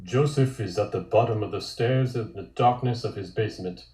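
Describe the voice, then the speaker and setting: A man's voice: on the low side, moderate pace, dark tone. A man narrating scene directions from a comic script at a table read. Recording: echoey room.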